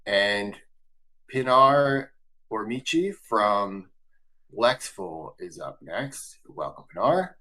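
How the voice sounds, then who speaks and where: A man's voice: fairly low pitch, lively intonation, very slow, bright, forceful tone, rough recording. Casual man hosting a webinar. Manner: loose, filler-heavy questions. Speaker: webinar host. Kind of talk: business webinar moderation.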